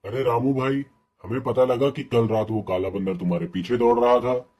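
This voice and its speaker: A man playing an inquisitive, gossiping villager in a cartoon story, with a husky, creaky voice. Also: mid-range voice, fairly even intonation, normal speed, dark tone, close to an everyday voice. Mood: curious.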